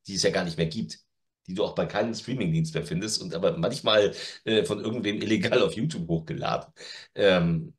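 A man's voice: low-pitched, fairly quick. A middle-aged man, chatting casually and bluntly as he reviews audio dramas. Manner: opinionated, blunt. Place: home room with media shelves. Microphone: video call.

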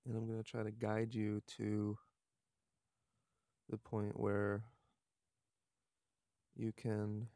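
Mellow, gravelly man guiding a meditation. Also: lowish voice, monotone, slow pace, long pauses, dry recording. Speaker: meditation guide. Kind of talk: slow guided meditation with long pauses. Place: outdoors.

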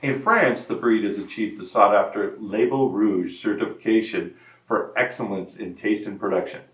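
A man in his fifties hosting a hobby farm channel, reading a scripted breed spotlight in a steady, informative way. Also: fairly low pitch, normal speed, roomy sound, recorded from a distance, poor audio. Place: indoor studio set before a wooden barn-wall backdrop. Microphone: lapel mic, medium camera framing.

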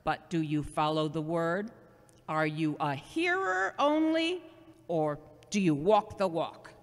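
A woman playing a loving, gently scolding seventy-year-old mother in an audio drama, earnest and halting. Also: deep voice, very animated, heavily acted. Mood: earnest, admonishing. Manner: earnest, sermonizing, halting delivery. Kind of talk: amateur audio drama acting. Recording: long hollow reverb.

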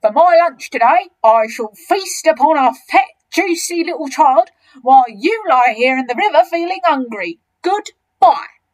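A woman voicing an enormous, greedy, boastful male crocodile in a children's storybook, with gloating, drawn-out emphasis. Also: high voice, lively intonation, pitched above the performer's own voice, low-quality recording. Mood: boastful, scheming. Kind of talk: children's storybook character reading.

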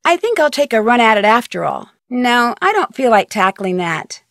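A young female sleuth from a mystery video game, curious and polite. Her voice sits on the high side and moves with animated intonation. The sound is dry and close. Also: moderate pace, vocal fry, no echo, heavily acted. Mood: curious, probing. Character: inquisitive, polite young female amateur detective.

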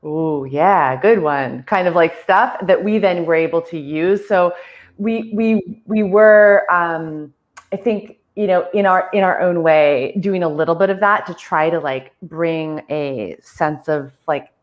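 A woman's voice: fairly low pitch, a little slow, muffled recording. A woman talking casually as a theatre artist on a panel, speaking over a video call from a room at home.

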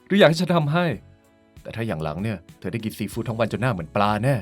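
A man's voice: on the low side, very animated, racing, soft background music. A man playing a sulky, theatrical middle-aged father and doting husband in an audio drama, whiny and teasing. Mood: teasing, flirty. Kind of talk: Thai audio drama acting.

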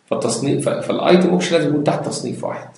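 Fast-talking Egyptian Arabic instructor, echoey room. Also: male voice, a little high, darkish tone. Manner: explains step by step, mixing English terms, asks rhetorical questions. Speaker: computer science instructor. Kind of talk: screen-recorded lecture.

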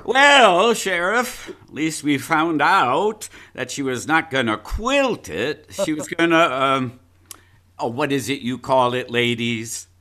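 A condescending small-town county attorney, in a radio play. He drawls slowly with a bright edge and a lively, swooping voice, filling his pauses with patronizing chuckles. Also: on the high side, full character voice. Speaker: Atty. Henderson, county attorney. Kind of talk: live radio play acting. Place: home closet.

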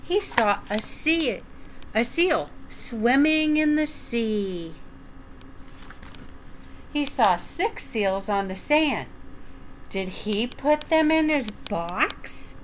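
An older woman reading a children's picture book aloud at home, enunciating deliberately and putting questions to the young listener. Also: fairly high pitch, lively intonation, a little hiss or hum. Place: small room at home, indoors.

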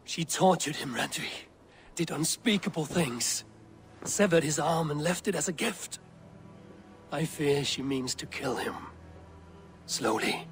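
A man's voice: a little high, animated, a little slow, long pauses. A man playing a stern, resolute Viking warrior leader burdened by duty, in dramatic video game acting. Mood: distressed urgency.

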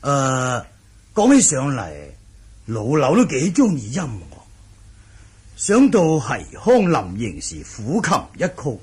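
A man's voice: mid-range voice, wildly swooping pitch, a little mellow, slightly rough, heavily acted. A man acting a refined, courteous old recluse in a Cantonese radio drama, formal, humble and given to sighs. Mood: wistful, musing. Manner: slow, sighing, formal and humble. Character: refined elderly reclusive manor master, courteous, music-loving.

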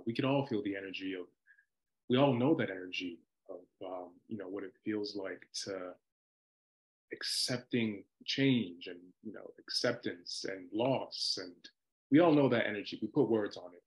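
A man's voice: low voice, normal speed, dull audio. A man in his thirties sharing casual, reflective thoughts on a video call and circling back over phrases. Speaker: actor on a panel. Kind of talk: casual reflective panel remarks.

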